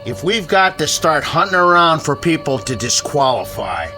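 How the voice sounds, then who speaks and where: Belligerent high-voiced neighbor man. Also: very animated, moderate pace, pushed and bright, faint music behind, heavily acted. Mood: defiant, threatening. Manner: aggressive, bullying. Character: belligerent suburban neighbor leading a panicked mob. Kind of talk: radio drama acting.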